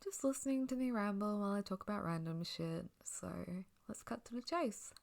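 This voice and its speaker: Soft, croaky young woman rambling. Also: medium pitch, lively intonation, a little fast. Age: young adult. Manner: casual and rambling, swears lightly, drifts into whispers. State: slightly croaky from hay fever. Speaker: sleep audio rambler. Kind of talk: soft sleepy rambling chat.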